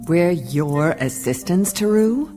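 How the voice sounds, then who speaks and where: A woman playing a tough, sardonic, skeptical investigator in a radio play, blunt and deadpan. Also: fairly low pitch, takes it slowly. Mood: blunt, challenging. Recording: music behind the voice. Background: music.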